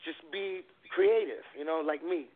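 A man's voice: a little high, unhurried, slight background noise. A man in his forties from New York, a rapper talking as a radio guest in a laid-back, rambling way full of fillers. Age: 40s. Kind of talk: casual radio interview. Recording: phone-like narrow sound.

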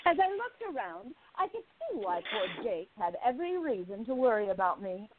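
A woman's voice: medium pitch, big pitch swings, normal speed, phone-quality sound, noisy background, close to an everyday voice. A woman playing a catty 1920s gossip columnist, thin audio. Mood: breathless relish. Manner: arch, theatrical, savoring words. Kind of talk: radio play narration and dialogue.

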